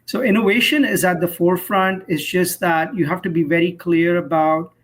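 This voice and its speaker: A man speaking as an expert interview guest, explaining in an articulate, measured way and posing rhetorical questions. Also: sits high, steady tone, a bit bright. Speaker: cybersecurity professional, interview guest.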